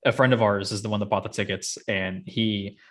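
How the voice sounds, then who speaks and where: A man's voice: on the low side, fairly even intonation, fairly quick, a bit bright. Casual joking man co-hosting a chat. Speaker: podcast co-host. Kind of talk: casual movie discussion.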